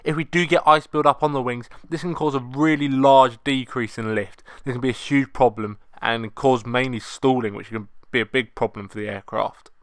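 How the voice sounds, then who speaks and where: A man's voice: mid-range voice, steady tone, moderate pace, hardly any pauses, smooth. A young British man, a student pilot, narrating an explanatory vlog voice-over.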